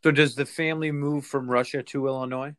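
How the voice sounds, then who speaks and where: A man hosting an interview, asking measured questions with pauses and ums. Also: medium pitch, fairly even intonation, fairly bright tone. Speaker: interview host and city council member.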